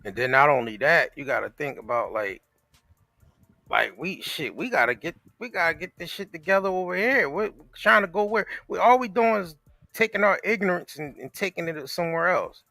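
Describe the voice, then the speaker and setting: A man's voice: a little high, animated, normal speed, vocal fry, bright, forceful tone, no echo. An American man co-hosting a casual talk show, speaking in an emphatic, repetitive, slangy and profane way. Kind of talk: casual opinionated chat.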